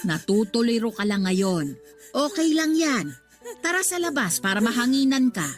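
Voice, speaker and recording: A woman's voice: fairly high pitch, sing-song, moderate pace, soft background music. An actress playing a middle-aged mother in a Tagalog radio drama, soothing and placating, a little evasive.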